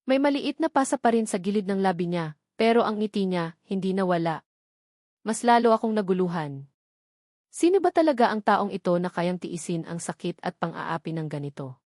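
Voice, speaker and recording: A woman's voice: medium pitch, a little mellow, no room sound, studio quality. A woman narrating a first-person romantic drama in an earnest, emotional, reflective manner.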